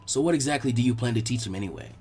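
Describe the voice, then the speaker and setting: A man voicing a lazy, grumbling teenage boy ninja with a deadpan, unimpressed drawl in an audiobook-style story. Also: mid-range voice, very fast, breathy edge, faint music behind, pitched below the performer's own voice, natural voice. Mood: dry, unimpressed.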